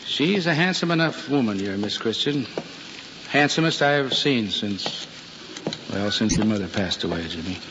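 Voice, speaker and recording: An actor with an American accent playing a proud, blustering, middle-aged widowed policeman in an old radio drama, speaking haltingly and folksily. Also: medium pitch, lightly acted. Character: gruff, proud, flustered widowed policeman father. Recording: dull vintage recording, faint noise.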